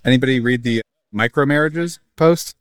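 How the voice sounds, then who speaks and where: A man's voice: mid-range voice, normal speed, smooth, no echo. A man in a casual group chat, joking and asking curious questions. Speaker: conversation prompter.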